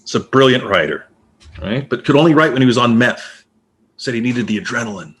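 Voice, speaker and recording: Nosy ex-agent type played by a man for a stage play: needling, conversational, very lively intonation, rough husky tone. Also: mid-range voice, fairly quick. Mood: wry, storytelling. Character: nosy, teasing former literary agent, a talkative male stranger. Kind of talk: naturalistic stage play dialogue.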